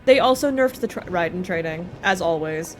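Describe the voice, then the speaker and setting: A woman's voice: fairly low pitch, expressive, moderate pace. A woman is livestreaming a game and talking off the cuff to her viewers. She is playful and full of joking asides, with faint game music playing under her voice. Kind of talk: casual chat and game commentary to viewers. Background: video game music.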